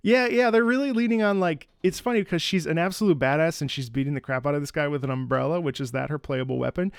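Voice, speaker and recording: A man's voice: a little high, fast, gravelly, clear, resonant tone, dry recording, studio quality. An enthusiastic man commentating on video game music in a live stream reaction, breaking into sung syllables to imitate rhythms. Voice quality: trained opera singer's voice. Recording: music behind the voice.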